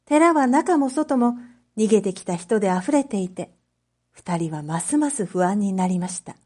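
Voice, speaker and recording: A woman reading a story aloud as a narrator, in a measured, clearly phrased storytelling manner for reading practice. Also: mid-range voice, animated, mellow. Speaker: narrator, Japanese reading teacher.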